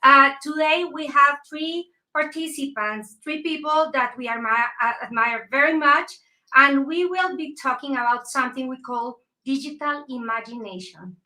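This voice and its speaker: Bright high-voiced woman moderating a panel. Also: low-quality recording. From Colombia. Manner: gracious, slightly halting with fillers. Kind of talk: hosting an online panel.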